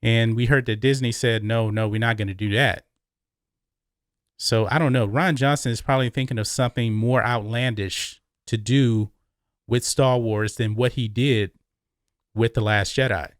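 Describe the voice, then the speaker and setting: A man's voice: mid-range voice, steady tone, moderate pace, dry recording. American man giving opinionated commentary, dry close sound. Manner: emphatic, repeats phrases for stress. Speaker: YouTube movie commentary host.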